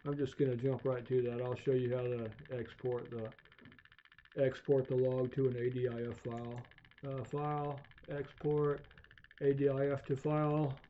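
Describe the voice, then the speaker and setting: A man giving an unscripted screencast tutorial, haltingly thinking aloud as he demonstrates software. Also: mid-range voice, completely flat delivery, slight background noise. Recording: dull, lo-fi voice recording.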